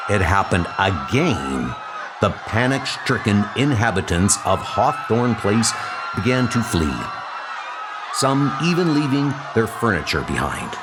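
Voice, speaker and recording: A man's voice: lowish voice, sing-song, a little slow, over a noisy crowd, very noisy. A man giving dramatic, suspenseful narration of horror stories, drawing out his phrases theatrically. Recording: music behind the voice. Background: dramatic music.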